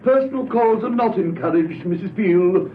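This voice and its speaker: An actor playing a fussy, officious department boss in a spy radio drama, stiff, formal and flustered. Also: male voice, sits high, moderate pace, close to an everyday voice. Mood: stern, fussy. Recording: tinny phone-like radio recording, faint noise.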